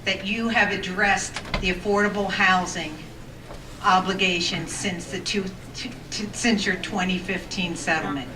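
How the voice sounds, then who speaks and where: Woman at a council podium asking pointed questions, with a big voice and halting restarts. Recorded from far off in an echoey, noisy room. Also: medium pitch, fairly even intonation. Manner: says she has a big voice. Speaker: resident giving public comment. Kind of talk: public comment at a council meeting. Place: township council meeting room. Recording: echoey room, distant mic, background noise.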